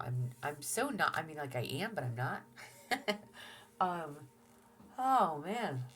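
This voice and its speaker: A woman giving a gentle tarot reading, soft-spoken and reassuring, who often drops to a near-whisper and talks through a stuffy nose. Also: low-pitched, a little hiss or hum. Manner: gentle and reassuring, with fillers and restarts. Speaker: tarot reader. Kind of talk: relaxed tarot reading to viewers.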